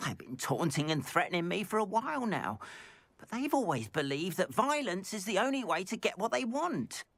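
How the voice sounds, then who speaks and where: Casting: wronged, peace-loving goblin artist for a game; very animated pitch, measured formal phrasing, dry close sound. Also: male voice, gravelly, no echo, exaggerated voice. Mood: earnest, troubled. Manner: measured, expressive, formal old-fashioned phrasing. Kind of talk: video game character acting.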